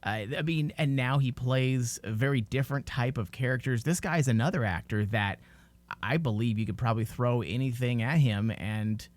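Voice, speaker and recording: A man talks as a podcast host in a casual fan discussion. His pitch sits in the middle, and he stresses points by repeating his phrases. The sound is dry, with little room around it. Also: normal speed, dry recording.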